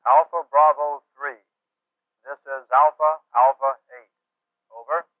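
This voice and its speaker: A man's voice: on the high side, fairly even intonation, slow pace, no echo. A man playing a radio operator, answering in clipped radio procedure. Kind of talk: scripted radio call. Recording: tinny old film soundtrack.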